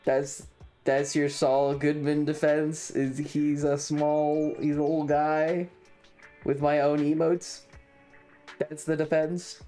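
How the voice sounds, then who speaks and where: A game streamer talks casually to chat in a middle-pitched voice. The pace is unhurried and the delivery is dry and mock-serious. Soft music plays in the background. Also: level tone.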